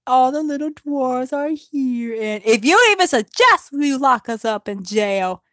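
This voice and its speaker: A woman's voice: high voice, lively intonation, unhurried, a bit bright, no room sound, studio quality, voice pushed up. Woman doing a gloating elf king. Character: haughty male elf king, mocking.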